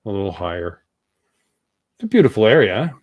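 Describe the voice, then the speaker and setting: A man's voice: fairly low pitch, very animated, a little fast, no room sound, muffled recording. A middle-aged man hosting a casual livestream chat in a playful, rambling, joking way. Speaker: livestream host.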